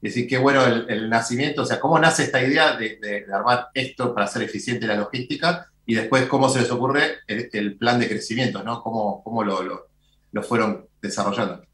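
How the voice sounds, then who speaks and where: A man's voice: mid-range voice, fairly quick, fairly bright tone. A middle-aged man with an Argentine accent hosts an interview over a video call, wearing headphones. He asks long, winding questions, repeating words as he restarts. His voice sounds roomy and echoey. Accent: Rioplatense Spanish. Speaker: TV show host. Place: indoor studio with branded backdrop.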